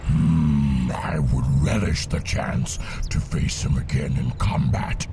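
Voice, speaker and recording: An actor playing a proud, battle-hungry demon warlord in a fantasy video game, gravelly and menacing. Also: male voice, full character voice. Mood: menacing, brooding. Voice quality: gravelly, booming. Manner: slow, menacing, pondering. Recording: rumbling noise behind the voice.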